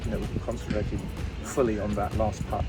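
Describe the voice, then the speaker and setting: A man's voice: lowish voice, flat delivery, brisk, far from the mic, loud music behind the voice. A man explaining adaptive golf in an interview, hesitant with many restarts.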